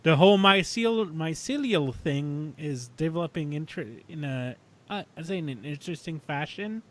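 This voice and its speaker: A man talking casually as a podcast panelist about sci-fi television, thinking aloud in a halting way. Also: a little high, animated, fairly bright tone.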